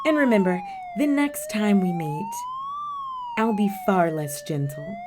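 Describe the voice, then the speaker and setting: Casting: actress as sly female cat burglar for audio roleplay; flirty, coy, unhurried delivery with very lively intonation. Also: medium pitch, noisy background. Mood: smug, playful. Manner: coy, teasing, drawn-out phrasing with terms of endearment. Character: flirty, cunning female cat burglar villain, teasing and theatrical. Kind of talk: roleplay audio drama addressed to the listener.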